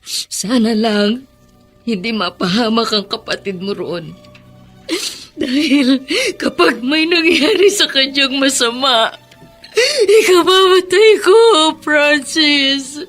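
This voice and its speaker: Tearful, high-voiced widowed Filipino mother. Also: takes it slowly, a bit bright, soft background music. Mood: tearful worry. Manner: tearful, plaintive. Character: grieving, anxious widowed mother, pleading and aggrieved. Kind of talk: melodramatic radio drama acting.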